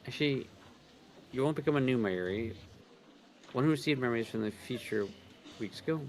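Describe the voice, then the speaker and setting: A man's voice: mid-range voice, a little fast, a little hiss or hum. A man co-reading a visual novel on a livestream, moving between read lines and casual commentary. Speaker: co-reader on a game livestream.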